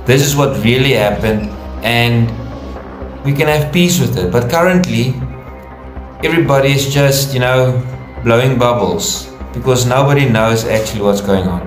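A man's voice: mid-range voice, moderate pace, soft background music. Irritated man vlogging in an echoey room. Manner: plain-spoken, a bit irritated, asking viewers to come forward. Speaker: commentary vlogger. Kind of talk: casual commentary. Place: indoors in front of a logo backdrop. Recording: roomy echo.

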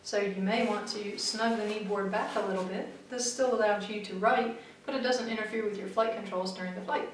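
A woman's voice: mid-range voice, steady tone, a little fast, slight background noise. Methodical woman, very echoey far-off room. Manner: clear, methodical, practical advice. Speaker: flight instructor. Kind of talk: instructional explanation to camera. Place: small studio room in front of a backdrop, seated. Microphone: far camera mic.

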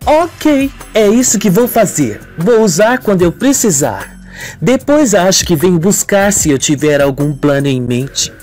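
A man's voice: high-pitched, very animated, unhurried, a little mellow, faint music behind, voice pushed up. A man voicing a scheming, ambitious young woman villain, coaxing, playful and mocking, in a radio soap. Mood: mocking, bossy.

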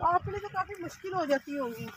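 A woman's voice: extremely high pitch, expressive, fairly quick, very noisy. A young woman vlogging outdoors on a farm with her phone, cheerfully chatting and coaxing her children along. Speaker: vlogger and mother. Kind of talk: casual family vlog narration. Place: open farm field outdoors.

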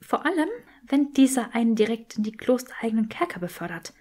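A woman reading fiction as an audiobook narrator, in expressive scripted narration. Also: fairly high pitch, fairly quick.

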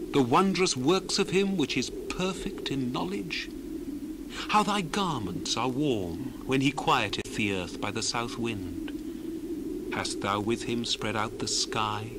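A man's voice: mid-range voice, background noise. A man playing an earnest biblical orator in a dramatized scripture reading, speaking in a stately, emphatic, declamatory way. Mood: hushed, awed. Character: earnest biblical orator proclaiming God's greatness.

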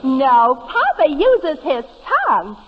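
A woman's voice: high voice, very animated, slow pace, exaggerated voice. A woman playing a perky, naive, girlish American stooge in 1930s radio comedy, with a singsong delivery. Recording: noisy, echoing, muffled 1930s radio recording.

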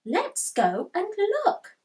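A woman voicing a curious, gentle young storybook dog in a hushed, wondering way. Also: high-pitched, wildly swooping pitch, slow, pitched above the performer's own voice, heavily acted.

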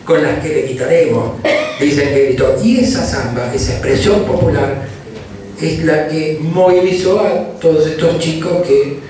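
A man's voice: on the high side, moderate pace, a little mellow, recorded from a distance, slight background noise. A man with an Argentine accent telling an anecdote on a panel in a university hall. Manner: storytelling, anecdotal. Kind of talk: panel discussion. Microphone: handheld mic, far camera. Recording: roomy hall from afar.

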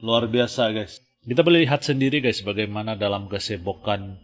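A man's voice: fairly low pitch, steady tone, normal speed. A man in his thirties with a Malaysian Malay accent, hosting a casual reaction video from a small home studio in an earnest, emphatic way. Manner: earnest, says "guys" constantly, emphatic. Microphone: studio mic on arm, wearing headphones. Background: music from the video being reacted to.

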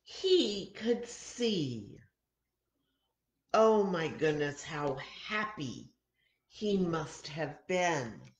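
An older American woman, in her sixties, reads a children's Bible story aloud in a dramatic, deliberate manner, acting out the dialogue. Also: low-pitched. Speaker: children's story time host at a church. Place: home kitchen, indoors. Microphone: webcam or laptop mic at arm's length.

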